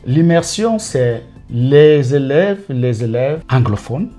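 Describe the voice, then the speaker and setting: A man from Cameroon, a teacher answering interview questions in a careful, measured way with frequent hesitations. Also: mid-range voice, animated, mellow, faint music behind. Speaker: teacher guest.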